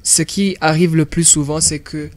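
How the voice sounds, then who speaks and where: A young man from Burundi, a film director, explaining his work in a radio interview in an animated way and repeating words while he thinks. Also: on the high side, normal speed.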